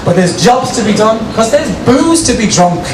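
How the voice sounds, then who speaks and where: Male performance poet for live outdoor recital; theatrical, drawn-out phrasing, heard from a distance over noisy ambience. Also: high voice, big pitch swings, reverberant. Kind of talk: dramatic live poetry recital with casual asides. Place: outdoor city square, poetry tent. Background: street ambience and passers-by noise.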